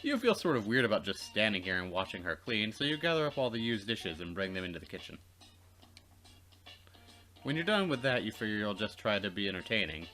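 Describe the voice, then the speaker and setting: Expressive narrator with lively, swinging pitch. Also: male voice. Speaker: let's play co-host and narrator. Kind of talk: reading game narration aloud with casual asides.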